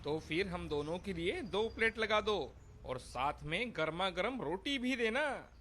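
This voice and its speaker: Man voicing a high-pitched roadside customer. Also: expressive, a little slow, fairly bright tone, heavily acted. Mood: casual, requesting. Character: appreciative male traveller, roadside diner customer. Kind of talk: animated moral-story dubbing.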